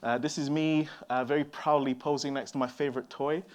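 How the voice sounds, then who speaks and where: A man from São Tomé and Príncipe addresses a conference audience through a stage mic. He talks in a loose, relaxed way, often pausing on fillers and restarting his sentences. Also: mid-range voice. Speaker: conference speaker. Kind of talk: conference talk.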